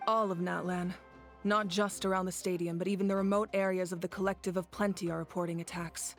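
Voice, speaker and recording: A woman playing a grave, commanding leader of warriors in a video game, measured and authoritative. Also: lowish voice, flat delivery, close to an everyday voice. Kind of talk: English video game voice acting. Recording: game music behind the voice.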